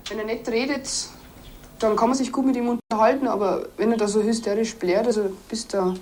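A teenage woman answering an interviewer, haltingly and repeating herself. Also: on the high side, fairly even intonation, a little mellow. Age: teens. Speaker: dental assistant. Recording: noisy film soundtrack.

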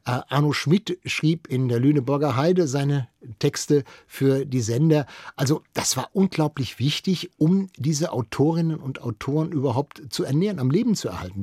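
A man's voice: mid-range voice, a little mellow, slightly rough, no echo, studio quality. A man giving explanatory interview answers, emphatic and repeating key phrases. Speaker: interviewed cultural radio expert.

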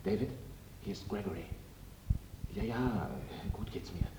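A man acting a businesslike radio-play newsman on a call, deep and matter-of-fact. He sounds away from the mic in a roomy space, with clear noise. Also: a little slow, lightly acted. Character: businesslike male newsman phoning in an exclusive. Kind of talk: German radio drama acting. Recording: noisy, echoey radio recording, distant mic.

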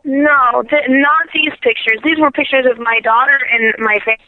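High-voiced woman on a tinny phone line. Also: normal speed, no echo. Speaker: prank call recipient. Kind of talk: casual phone conversation. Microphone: telephone. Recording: thin, narrowband phone line.

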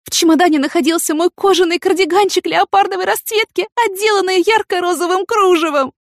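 A woman's voice: very high voice, a little fast, dry recording, clean studio sound, voice pushed up. A woman voicing a vain, impulsive, dramatic young woman in an audiobook, with theatrical, indignant exclamations. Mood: frantic, wailing. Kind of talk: audiobook character acting.

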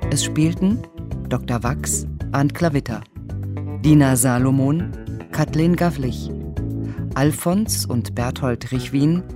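A woman's voice: extremely low pitch, slow. A woman reading the closing credits of a radio play as a scripted announcement, with music behind her.

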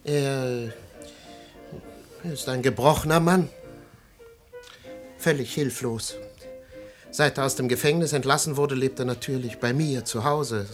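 A melancholy, self-pitying man, played by an actor in a German radio play. He speaks haltingly in a medium-pitched voice, with faint music behind. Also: close to an everyday voice. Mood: sorrowful. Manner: halting, sighing, pathos-laden. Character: self-pitying, melancholy son of a disgraced father.

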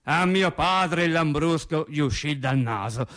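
An actor playing a working-class man telling wry, naive childhood memories in a theatrical radio monologue, with dramatic pauses. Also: a little high. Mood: wry reminiscing. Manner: animated storyteller, dramatic pauses and emphatic swings. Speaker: stage actor.

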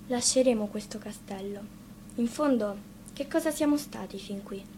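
A woman plays a proud rebel wolf from a storybook, the leader of his pack. The voice is calm and measured, with steady authority, recorded over clear background noise. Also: on the high side, natural voice. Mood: calm, reassuring.

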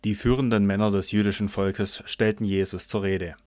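Low-pitched, solemn male narrator. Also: fairly even intonation, normal speed, a crowd in the background. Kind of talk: scripted scripture narration for audio drama.

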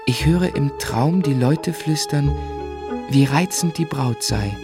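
A man's voice: a little high, slow, gravelly, over music. An actor playing a tender, dreamy young man in love who narrates his life lyrically and reflectively in a literary radio play. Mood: dreamy, moved. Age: young adult.

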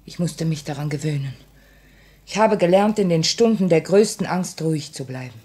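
A low female voice from a mid-century radio play, earnest and solemn. She is an anxious revolutionary bomb-maker who holds herself composed, with measured stage diction. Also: expressive, mellow, husky. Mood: quietly fearful. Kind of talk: 1950s German radio drama acting. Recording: old 1950 radio play recording.

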